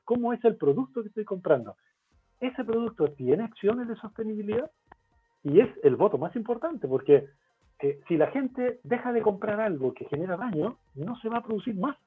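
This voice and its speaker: A man from Chile with a Chilean Spanish accent, a guest expert in a webinar interview who explains his points in a lively way and poses rhetorical questions. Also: sits high, moderate pace, slightly rough. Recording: tinny phone-like line, faint music.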